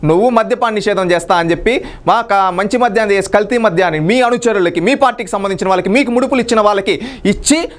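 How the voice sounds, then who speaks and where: A man's voice: high-pitched, quick pace. A man gives unscripted political commentary, accusing and scolding his opponents with pointed rhetorical questions.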